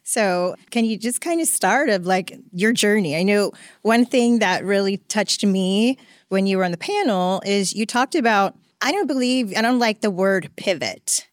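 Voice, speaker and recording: A woman's voice: mid-range voice, moderate pace, no room sound, clean studio sound. A woman hosting a money podcast, effusive and enthusiastic as she talks with guests. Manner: gushing, effusive. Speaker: podcast host. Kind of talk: enthusiastic interview host.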